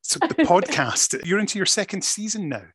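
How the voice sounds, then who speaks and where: A man's voice: high voice, big pitch swings, moderate pace, a bit bright, breathy edge, no room sound, studio quality. A man hosting a podcast, upbeat and asking his guest short questions. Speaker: podcast host. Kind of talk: friendly interview hosting.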